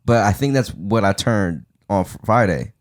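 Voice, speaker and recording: A man in his thirties talks in a somewhat low voice, loose and unhurried. He co-hosts a podcast and chats easily, agreeing often and leaning on casual slang. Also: animated. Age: 30s. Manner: laid-back, agreeable, drawling slang. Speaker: podcast co-host. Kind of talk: casual chat.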